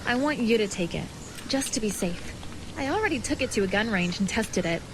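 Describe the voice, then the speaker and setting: A woman's voice: medium pitch, expressive, a little fast, noisy background, close to an everyday voice. A young woman acting a determined, secretive video game character, speaking with a purposeful, reassuring and lightly teasing manner. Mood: earnest. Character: determined, secretive young woman guiding her newfound brother.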